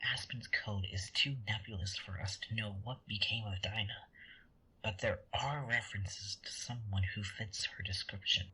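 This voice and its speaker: An actor in a sci-fi audio drama playing a conniving angel, smug and taunting, with a breathy, husky voice and a theatrical, condescending delivery. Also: medium pitch, fairly even intonation, normal speed, sharp tone, rough recording. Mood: insistent.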